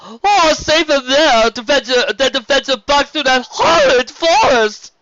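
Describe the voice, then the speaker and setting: Loud, high, flamboyant sea serpent gentleman. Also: very animated, no echo, highs cut off, heavily acted. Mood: gallant, dramatic. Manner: florid, drawn-out, theatrical delivery. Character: flamboyant, courtly male sea serpent, gallant and dramatic.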